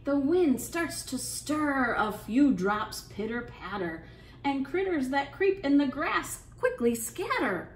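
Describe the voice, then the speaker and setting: A woman hosting an interactive children's storytime, speaking in an encouraging, sing-song way and pausing with questions for young listeners. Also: sits high, very animated, echoey room, slight background noise. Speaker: children's storytime librarian and host. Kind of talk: interactive children's storytime, reading aloud and leading songs.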